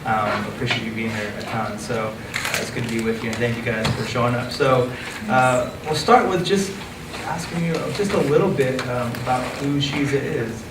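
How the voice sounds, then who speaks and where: A man hosting an interview in a relaxed way, with frequent uhs. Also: mid-range voice, normal speed, few pauses. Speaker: interview host. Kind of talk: conversational interview. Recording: roomy, from a distance, background noise.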